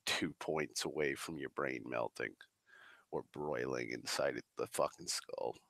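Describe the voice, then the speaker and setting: A man rambling slowly on a stream, swearing often and sighing, a little congested as he gets over the flu. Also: very deep voice, a bit bright, dry recording. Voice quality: gravelly. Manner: swears a lot, drawling, slow and sighing. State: recovering from flu, slightly congested. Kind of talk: rambling casual monologue. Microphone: desk microphone.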